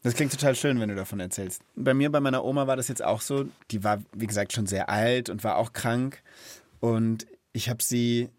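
A man's voice: medium pitch, normal speed, dry recording. Thoughtful man hosting, dry close sound. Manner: empathetic, thoughtful, personal. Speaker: host of a children's podcast. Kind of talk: casual, explanatory conversation.

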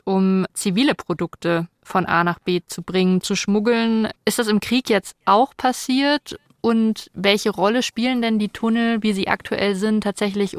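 A woman speaks as a podcast host, at a middling pitch. She talks in a conversational news style, asking questions and addressing listeners directly. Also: no echo, clean studio sound.

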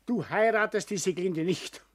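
A man's voice: high-pitched, moderate pace, dry recording, clean studio sound. An actor playing a stubborn, choleric father and provincial building contractor, speaking with a Bavarian accent in a blustering, obstinate way. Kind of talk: dialect stage-play acting.

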